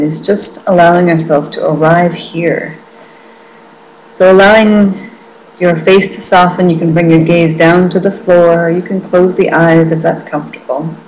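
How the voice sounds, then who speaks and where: A woman with a slightly low voice teaches guided meditation. She is gentle and permissive, letting her phrases linger and offering each instruction as a soft, reassuring invitation. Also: slight background noise, low-quality recording. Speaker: meditation teacher leading a small group.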